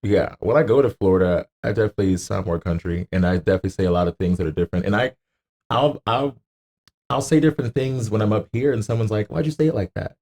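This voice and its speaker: A man's voice: lowish voice, fast, vocal fry, a little mellow. An American man in casual podcast conversation, rambling and repeating words for emphasis as he goes. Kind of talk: casual chat.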